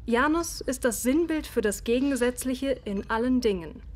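A woman's voice: high-pitched, animated, normal speed. An actress playing a proud, defensive Victorian woman doctor in a radio play, with formal, precise, haughty delivery. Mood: composed, professional. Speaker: voice actor in an audio drama. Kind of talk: German radio play dialogue.

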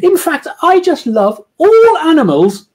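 A man reading aloud and voicing a haughty, posh woman with a high-pitched squawk and a drawn-out, schoolmistress-like manner. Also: sing-song, darkish tone, full character voice. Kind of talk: storybook reading.

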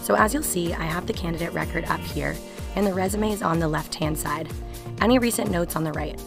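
A young woman presents a software walkthrough. Her voice sits a little low and she explains things conversationally. Music plays clearly behind her. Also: brisk. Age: young adult. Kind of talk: software demo narration.

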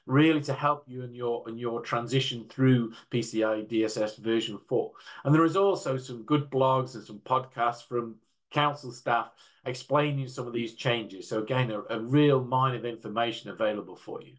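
An older man giving a measured, explanatory slide presentation as a panel speaker on an indoor video call. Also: mid-range voice. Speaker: panel speaker from a standards council.

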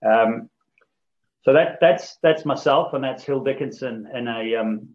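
A man from New Zealand, head of a law firm office, giving a measured, formal business presentation over a video call with frequent ums. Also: mid-range voice, darkish tone, lo-fi sound.

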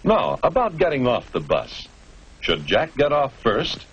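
A man's voice: medium pitch, wildly swooping pitch, a little slow, breathy edge, highs cut off. A man narrating a 1950s educational film in an instructive manner, putting rhetorical questions to the viewer. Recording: noisy old 1950s film soundtrack.

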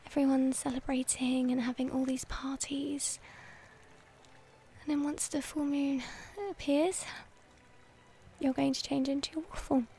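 A woman playing a shy, devoted young werewolf girlfriend in an intimate ASMR roleplay, speaking hesitantly and tenderly in a breathy voice that often drops toward a whisper. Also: high-pitched, flat delivery, mellow. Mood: soft, expectant. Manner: hesitant, tender, halting delivery.

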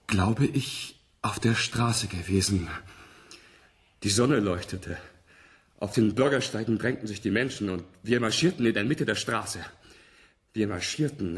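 Husky, entranced young test subject. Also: male voice, on the low side. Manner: halting, searching, wondering delivery. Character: young male test subject reliving an ancestor's memories in trance. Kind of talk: German radio play acting.

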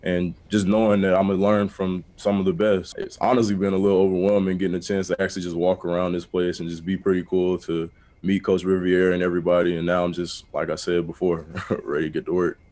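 A man's voice: low voice, level tone, fast, darkish tone. A man in his twenties, a football player answering at a press conference. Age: 20s. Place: indoor press conference before sponsor backdrop.

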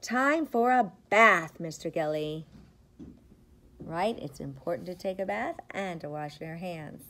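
A middle-aged woman, a preschool teacher, reads a picture book aloud to young children with expressive, rhythmic, sing-song phrasing. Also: lowish voice, measured pace, a little hiss or hum. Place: indoors, school classroom. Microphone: device camera at about arm's length.

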